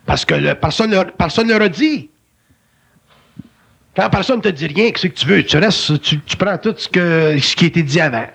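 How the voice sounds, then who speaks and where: Gravelly Québécois man, lecturing. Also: high-pitched, expressive, moderate pace, a bit bright. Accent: Québécois French. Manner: emphatic, colloquial, lecturing. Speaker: spiritual speaker. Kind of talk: philosophical conversation.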